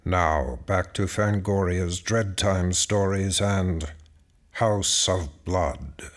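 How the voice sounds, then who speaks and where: A man announces a horror series in a dramatic, scripted style. His voice is very deep and extremely slow. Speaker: program announcer.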